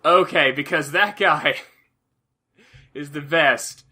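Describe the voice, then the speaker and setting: A man chatting casually about video games as a podcast co-host, haltingly, often repeating and restarting his phrases. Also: a little high, sharp tone.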